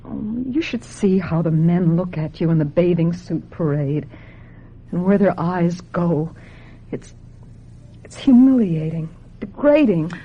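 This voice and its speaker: A woman's voice: lowish voice, animated, a little slow, warm tone, slightly rough, lo-fi sound, exaggerated voice. A woman acting in a radio drama as a wistful, sensitive young American woman with a plaintive, earnest delivery. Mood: pleading. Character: wistful, sensitive young woman, reluctant beauty contestant turned actress.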